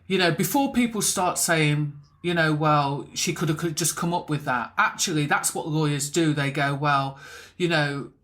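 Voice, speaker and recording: A woman hosting a podcast interview, supportively summing up her guest's points. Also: sits low. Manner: supportive, sums up guest's points, acts out quotes. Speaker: podcast host. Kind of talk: interview chat.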